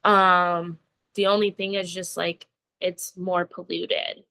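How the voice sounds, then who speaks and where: A young woman from the United States talks in a relaxed, rambling way, dropping "like" into nearly every phrase. Her voice has a bright, crisp edge. She sounds like she's speaking into a laptop on a video call. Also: lowish voice, takes it slowly. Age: young adult. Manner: chatty, lots of "like" fillers, laughs while talking. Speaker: interview guest. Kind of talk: casual conversation.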